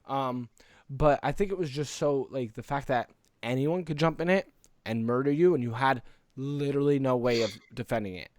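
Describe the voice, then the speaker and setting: A man's voice: mid-range voice, moderate pace, a little mellow, dry recording. A man co-hosting a casual gaming podcast in an earnest, rambling way, close to the microphone. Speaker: podcast co-host.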